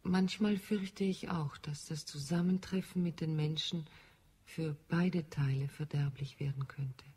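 Eine Schauspielerin spielt in einem deutschen Hörspiel eine nachdenkliche, zärtliche Marsianerin mit sanfter, bedächtiger Sprechweise. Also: female voice, low-pitched, steady tone, unhurried, a little mellow, breathy edge. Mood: worried. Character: thoughtful, tender Martian woman. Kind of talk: German radio play acting.

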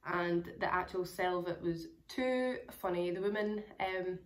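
Hoarse young woman, low voice. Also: a little slow. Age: young adult. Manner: chatty, rambling, many fillers. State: hoarse, crackly voice. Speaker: travel vlogger. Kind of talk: casual chat to camera. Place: small room indoors at home.

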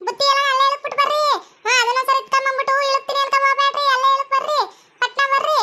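A woman's voice: extremely high pitch, little pitch movement, racing, sharp tone, slight background noise, heavily acted. A woman voicing a bossy, impatient female farm owner in a village cartoon, repeating orders insistently in a North Karnataka accent. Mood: impatient, scolding.

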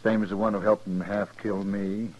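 A bitter hired gunman, acted by a man in a vintage radio western. The voice sits low, and the words come sparse and cold, with flat menace. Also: moderate pace, a little hiss or hum, natural voice, rough recording. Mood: menacing. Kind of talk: 1950s radio western acting.

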